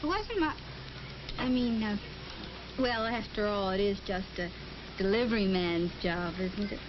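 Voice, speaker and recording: A woman's voice: mid-range voice, measured pace, lightly acted. An actress playing a prim, skeptical young otherworldly woman, a little hesitant and coy, in a 1950s training film. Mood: needling. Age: young adult. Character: prim, skeptical young woman from the world above, posing as a writer. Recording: muffled, noisy 1950s film soundtrack.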